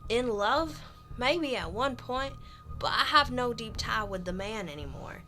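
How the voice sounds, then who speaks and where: High, dramatic, haughty widow played by a woman. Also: expressive, a little slow, sharp tone, faint music behind. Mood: haughty, defensive. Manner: genteel, melodramatic. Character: haughty, bitter widow of a crooked mayor. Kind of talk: radio drama acting.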